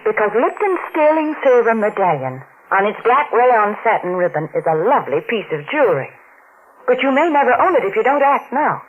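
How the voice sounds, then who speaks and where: A woman working as a sponsor's announcer, giving a folksy, persuasive 1940s radio commercial pitch. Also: very animated, brisk, husky. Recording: muffled, noisy 1940s radio broadcast.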